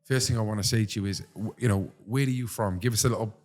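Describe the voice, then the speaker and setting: A man's voice: fairly low pitch, fast, darkish tone. A man hosting an interview, talking in a rambling, chatty way that is full of fillers and restarts. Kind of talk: casual interview chat.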